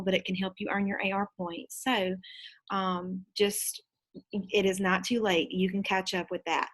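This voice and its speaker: A woman's voice: medium pitch, a bit bright. Woman teacher reading aloud. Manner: explanatory, repeats reminders. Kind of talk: children's book read-aloud with explanations to pupils.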